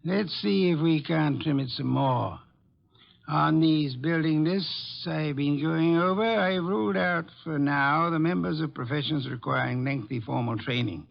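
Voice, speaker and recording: A dull, lo-fi radio recording of a brilliant master detective. His gravelly voice sits fairly high, and he hands out orders in deliberate, exacting phrases, stubborn and fully in command. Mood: exacting, patient. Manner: deliberate, authoritative, precise phrasing. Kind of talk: old-time radio drama acting.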